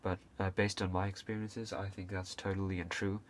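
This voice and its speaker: A young man with a deep, low voice speaks to camera in a loose, unscripted way. He stops and restarts often, dropping "uh" between phrases. A faint hiss sits under his voice. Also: level tone, brisk. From Hong Kong. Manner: hesitant, many fillers and restarts, occasional coughs. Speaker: video creator. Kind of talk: casual unscripted talk to camera.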